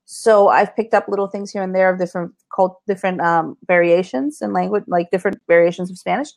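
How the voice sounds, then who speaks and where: A woman raised in Costa Rica, a marketing consultant chatting as a guest on a livestream show, bubbly and quick to laugh. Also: lowish voice, creaky. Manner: bubbly, laughs often, bilingual. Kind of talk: casual livestream chat.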